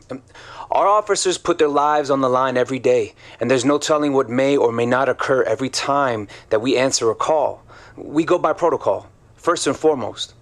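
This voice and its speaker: A guarded male police chief acted by a man answers in an audio drama. His mid-range voice is formal, measured and a little hesitant. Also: mid-range voice, close to an everyday voice. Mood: guarded, official.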